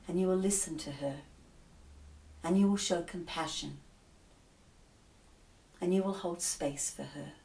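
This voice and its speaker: A woman voicing a wise and compassionate male god of water, giving slow, grave commands. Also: on the low side, darkish tone. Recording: roomy echo, distant mic.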